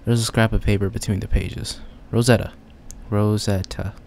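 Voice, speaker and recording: A man's voice: fairly low pitch, little pitch movement, normal speed, vocal fry, darkish tone, a little hiss or hum. A young man playing a game casually, reading its text aloud and joking about it. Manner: chatty, reads text then jokes about it. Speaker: gamer.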